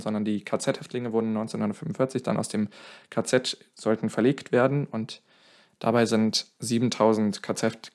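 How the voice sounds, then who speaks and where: A man's voice: fairly low pitch, a little mellow. A man telling a song's history in casual podcast talk, often correcting himself mid-sentence. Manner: explains at length, self-correcting, thinking aloud. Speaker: podcast co-host.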